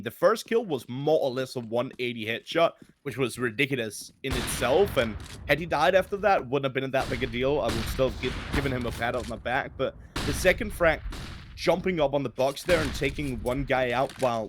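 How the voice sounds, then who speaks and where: Male color commentator, analytical, halting restarts, audible background noise. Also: medium pitch. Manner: analytical, stammers and repeats words. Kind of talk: live esports analysis.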